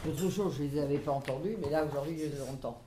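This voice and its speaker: A man's voice: a little high, fast talker. A man chatting casually, recorded at a distance in a noisy, echoey space. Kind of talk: casual chat. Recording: noisy, echoey, far from mic.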